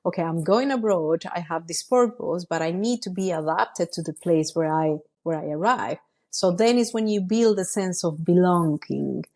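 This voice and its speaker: A woman with a fairly low voice hosts a podcast. She asks her questions in a searching way and often restarts her phrases. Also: normal speed, hardly any pauses, darkish tone. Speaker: podcast host. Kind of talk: interview questions.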